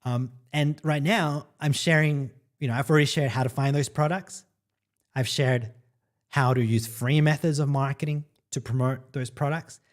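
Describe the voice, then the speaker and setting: A man's voice: medium pitch, fairly even intonation, normal speed. A man originally from El Salvador hosting a casual livestream as an online marketing coach, upbeat and chatty, often checking in with "right?" and "guys". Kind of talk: casual livestream talk to viewers.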